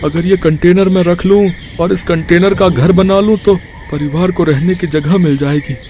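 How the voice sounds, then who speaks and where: A man plays a poor village father in a cartoon moral tale, very high in pitch. He sounds humble, earnest and hesitant, with faint noise behind him. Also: fairly even intonation, moderate pace, warm tone, lightly acted. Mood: humble, hopeful.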